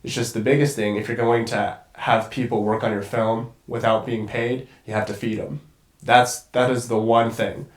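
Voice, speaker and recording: A man's voice: medium pitch, level tone, normal speed, reverberant. A congested young man talking as a guest cinematographer in a casual conversation, rambling and swearing casually. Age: young adult.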